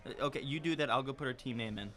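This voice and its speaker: A man's voice: medium pitch, very fast, very noisy, lightly acted. A young man playing a bossy, self-important improv teammate in a radio comedy, argumentative and emphatic. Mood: pompous, insistent. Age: young adult. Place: small radio studio.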